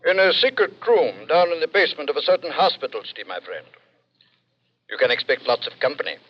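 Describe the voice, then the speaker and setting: A man's voice: fairly high pitch, big pitch swings, a little fast, lo-fi sound. An actor playing a sly, chatty man breaking grim news to a friend in an old radio suspense play, theatrical and falsely reassuring. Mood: coolly informative. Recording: band-limited, faintly noisy old radio recording.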